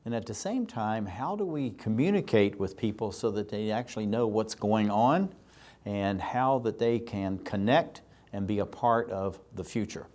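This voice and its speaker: A man's voice: lowish voice, moderate pace, a little mellow. A man hosting an interview show, asking long rambling and enthusiastic questions. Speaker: talk show host.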